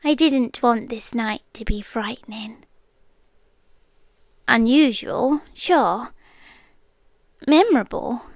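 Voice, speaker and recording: A young British woman speaks in a high, soft voice, drawing out every phrase very slowly. Much of it is whispered, intimate and close to the microphone. The recording is dry, with no room sound. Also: no echo. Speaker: ASMR roleplay performer. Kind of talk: scripted ASMR girlfriend roleplay.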